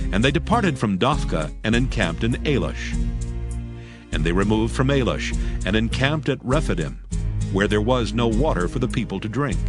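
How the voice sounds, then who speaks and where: Casting: man with a deepish voice for solemn, measured scripture narration, music bed behind.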